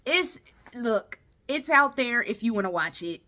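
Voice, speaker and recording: A woman's voice: fairly high pitch, lively intonation, unhurried, bright, forceful tone. A tired woman giving a casual, rambling review in a vlog, blunt and freely profane as she talks. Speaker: video game and movie vlogger.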